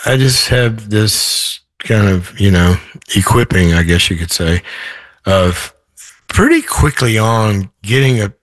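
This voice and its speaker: A man with a hoarse voice, a guest in an interview, talking in a thoughtful, rambling way with many restarts. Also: lowish voice, animated, slow pace, no room sound. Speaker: author guest. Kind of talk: podcast interview.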